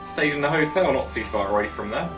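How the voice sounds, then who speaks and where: A man chats casually as he reacts on video. His mid-pitched voice rises and falls in a lively way. He sounds set back from the microphone, with music clearly playing behind him. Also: fast, roomy sound, recorded from a distance.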